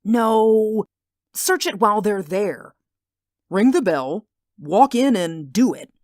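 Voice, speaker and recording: A man's voice: sits high, expressive, takes it slowly, a little mellow, no echo, clean studio sound. An actor playing an anxious, insistent man who keeps seeing something in a window, in a 1940s radio drama. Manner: earnest and insistent. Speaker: worried neighbor.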